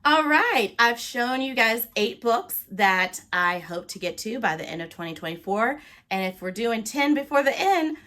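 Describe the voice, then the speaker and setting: Woman, mid-range pitch, casual vlog chat, expressive, playful emphasis, book vlogger. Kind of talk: casual, chatty talk to viewers.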